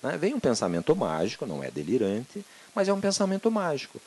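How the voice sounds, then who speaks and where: A middle-aged man from Brazil, a physician giving an animated, conversational lecture on a handheld microphone in a conference room, often checking in with his audience. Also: medium pitch, a little fast, slightly rough. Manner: animated, conversational, full of tag questions.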